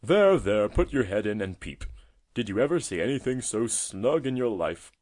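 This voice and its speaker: Man playing an old storybook tortoise, male, avuncular, chatty, emphatic, friendly yet testy, widely swinging pitch. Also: very animated, normal speed, darkish tone. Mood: friendly, contented. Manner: emphatic, chatty, avuncular, fond of tag questions. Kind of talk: storybook character voice.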